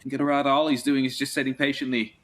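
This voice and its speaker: A man's voice: mid-range voice, flat delivery, racing, fairly bright tone, slight background noise. A man who is a non-native English speaker, giving live esports play-by-play and casual commentary. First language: not English.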